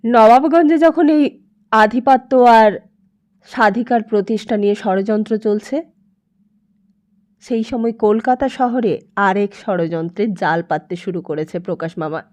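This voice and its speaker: A woman narrating a literary story as an audiobook, in an expressive, emphatic, dramatic way. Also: on the high side, unhurried.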